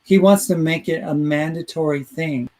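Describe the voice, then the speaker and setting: A man's voice: fairly high pitch, steady tone, normal speed, a little mellow. A man hosting a talk radio show in casual chat, rambling through his points and reading listener comments aloud. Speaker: host.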